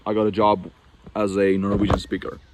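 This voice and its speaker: A young man from Norway with a fairly low voice talks straight to camera in an easygoing vlog. He rambles and restarts often, leaning on fillers as he goes, over a faint hiss in the background. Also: completely flat delivery, fairly quick. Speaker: vlogger. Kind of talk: casual talking-to-camera vlog.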